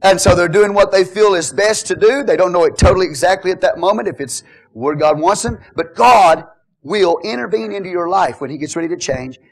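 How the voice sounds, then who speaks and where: A middle-aged man preaching a sermon as pastor, telling jokes and swinging from quiet asides to loud exhortation. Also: high-pitched, a little fast.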